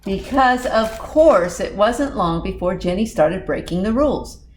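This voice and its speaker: A woman's voice: medium pitch, animated, a little slow, a little hiss or hum. A woman reading a children's storybook expressively, with asides spoken directly to young listeners. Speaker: children's librarian, storytime reader. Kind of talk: animated children's storybook read-aloud with asides.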